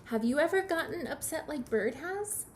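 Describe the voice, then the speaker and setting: A woman teacher reading a picture book aloud to young children, expressive and questioning. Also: high voice, a little hiss or hum. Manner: expressive, pauses to question the listener.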